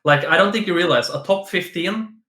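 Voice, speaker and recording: A man's voice: sits high, brisk, sharp tone. Giggly man in a roomy echo. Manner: playful, giggly, rambling with restarts. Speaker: guest, esports player. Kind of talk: casual chat on a stream.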